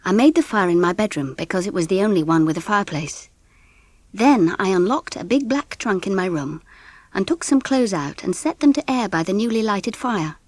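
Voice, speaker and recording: A gentle, weary English mother played by an actress, husky and expressive in a dry recording. She narrates and talks with tender, measured care, in dramatised audiobook style. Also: mid-range voice, no room sound, natural voice. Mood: reflective. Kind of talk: scripted first-person narration and audiobook drama.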